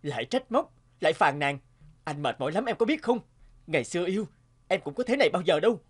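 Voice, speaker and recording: Higher-pitched weary young husband. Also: male voice, very animated, fast talker. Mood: angry, exasperated. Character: weary, sentimental young husband and office worker. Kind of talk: cartoon dubbing.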